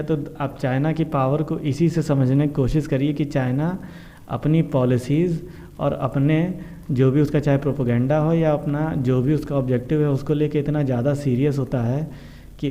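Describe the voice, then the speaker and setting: Very dark-voiced Indian man explaining news. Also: mid-range voice. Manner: explanatory, repetitive, mixing in English terms. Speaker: host of a current affairs explainer show. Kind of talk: informal news explainer commentary addressed to viewers. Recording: dull, muffled lo-fi sound.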